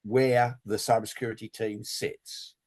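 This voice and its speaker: A man speaking as an industry analyst on a webinar panel, rambling and halting with many fillers. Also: on the low side, flat delivery, a little mellow. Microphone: video call.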